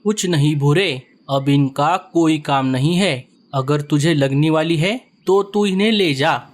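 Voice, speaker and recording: Man voicing a calm elder brother bird. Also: a little high, a little slow, lightly acted. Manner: calm, reasonable. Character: kind, steady male bird elder brother.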